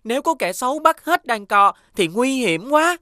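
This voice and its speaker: A woman voicing an earnest, excitable little boy in a children's cartoon. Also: high-pitched, moderate pace, no room sound, clean studio sound. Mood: concerned, indignant. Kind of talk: children's animated cartoon acting.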